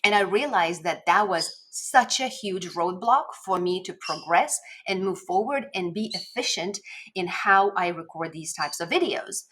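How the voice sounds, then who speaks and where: A woman with a Lithuanian accent speaks at a middle pitch in a casual instructional vlog. She is conversational and encouraging, leaning on important words as a coach would. She records at her desk in a home office. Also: fairly bright tone. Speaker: video coach and host. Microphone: desk microphone at a webcam setup.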